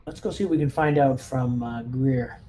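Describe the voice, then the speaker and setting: A man's voice: medium pitch, normal speed, warm tone. A man playing a tabletop roleplaying game, casually talking through his squad's next moves over some background noise. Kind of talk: casual in-game planning chat.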